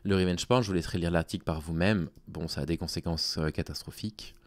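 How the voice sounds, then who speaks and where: A man speaking informally to his online audience in a halting, hesitant way, with a worn-out, small, tired voice. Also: sits low, no echo. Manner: halting, hesitant delivery with long pauses. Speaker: online video creator. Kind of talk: informal talking to audience, explaining personal situation.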